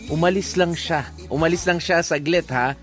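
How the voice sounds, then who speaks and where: A man's voice: fairly high pitch, moderate pace, a bit bright, soft background music. A man with a Filipino accent co-hosting a radio show, giving casual relationship advice in a conversational way, acting out examples and switching between languages. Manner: conversational, illustrates points with acted-out examples. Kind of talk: casual relationship advice chat with Tagalog-English code-switching.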